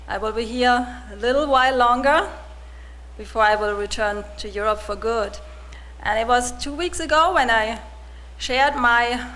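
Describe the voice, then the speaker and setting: A woman in her forties, from Germany, giving a personal testimony to a congregation in a soft-spoken, hesitant way with many restarts. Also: a little high. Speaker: church volunteer giving testimony.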